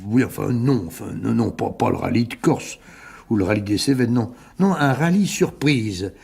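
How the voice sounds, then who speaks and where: An older, influential businessman in a radio drama. His voice is a little husky at a middling pitch, and he talks in a chummy, effusive way, repeating himself and gently patronizing his listener. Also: animated, normal speed. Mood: eager, persuasive. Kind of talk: French radio mystery drama.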